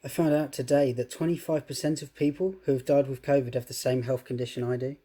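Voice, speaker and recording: A man playing a frightened, numbed person at high risk in a filmed diary monologue. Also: steady tone, fast talker, mellow, natural voice. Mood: frightened, stunned. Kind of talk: spoken diary monologue in a short film.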